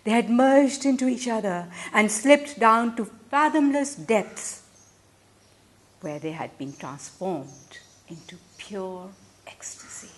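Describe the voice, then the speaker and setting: A woman gives a dramatic, expressive literary reading, deliberate in delivery, with emphatic swells and hushed phrase endings. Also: on the high side. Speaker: reader of a literary short story.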